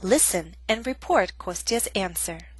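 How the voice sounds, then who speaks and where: A woman teaching an English grammar lesson in a clear, measured, scripted way. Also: medium pitch, expressive.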